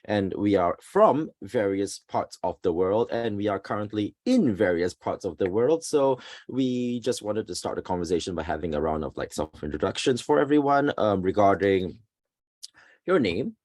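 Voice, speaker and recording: A man in his thirties from Singapore hosting a podcast over a video call, halting with many fillers and restarts. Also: fairly low pitch, big pitch swings, darkish tone, no room sound, clean studio sound. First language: Singaporean English. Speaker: podcast host and association president. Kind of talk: casual live-stream chat.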